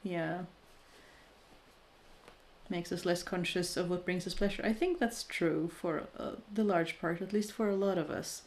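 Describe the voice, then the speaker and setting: A woman's voice: on the low side, steady tone, unhurried, darkish tone. A woman in her thirties chatting casually and candidly with her viewers, thoughtful and self-deprecating, often restarting her sentences. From Norway. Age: 30s. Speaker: crafting streamer chatting with viewers. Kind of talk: casual live chat.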